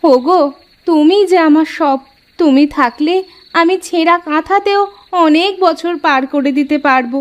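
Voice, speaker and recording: A woman voicing a gentle, devoted young village wife in a cartoon, with a tender, plaintive manner. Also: high voice, a little slow, dull audio. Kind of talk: Bengali moral-story cartoon voice acting.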